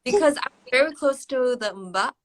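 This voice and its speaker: A woman's voice: high-pitched, animated, normal speed. A woman from Indonesia, a guest in a casual live-stream chat, who answers thoughtfully and hesitantly with many fillers and laughs often. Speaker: interview guest.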